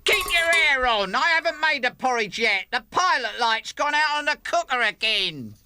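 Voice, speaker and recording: A man voicing a fussy motherly female bear in a high, shrill, scolding voice. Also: lively intonation, normal speed, bright, forceful tone, a little hiss or hum, exaggerated voice.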